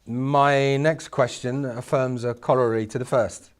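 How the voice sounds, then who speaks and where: A man's voice: mid-range voice, steady tone, unhurried, lightly acted. A man playing a flustered, brainy mortal who bargains for his soul, hesitant and incredulous, in a dramatic script reading. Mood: hesitant, puzzled.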